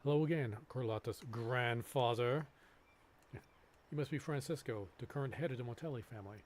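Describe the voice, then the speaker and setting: A man live-streaming a game, reading on-screen text aloud a little haltingly and breaking off into casual asides. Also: mid-range voice.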